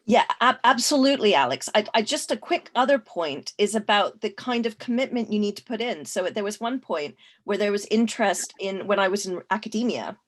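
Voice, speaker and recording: A woman's voice: medium pitch, expressive, a little fast, few pauses. A woman from Canada speaking as a panelist in a casual discussion, thoughtful, with frequent fillers and restarts.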